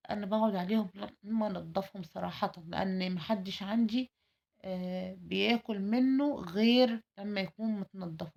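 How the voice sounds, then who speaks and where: A woman's voice: mid-range voice, vocal fry, a little mellow. A woman with an Egyptian Arabic accent in a chatty, effusive cooking vlog, her voice tired, repeating words for emphasis. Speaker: home cooking vlogger.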